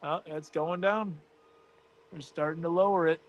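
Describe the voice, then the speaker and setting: A man's voice: fairly high pitch, steady tone, a little slow, highs cut off. An American man hosting a casual livestream with enthusiastic, conversational live commentary. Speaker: livestream host.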